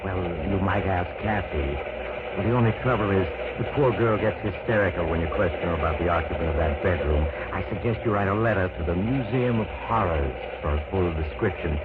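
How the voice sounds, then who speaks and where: A man's voice: low-pitched, fairly quick, natural voice. A man playing a sly, sinister train-traveler narrator in a 1940s radio drama. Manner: sly, teasing, confiding. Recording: noisy old radio broadcast, phone-like.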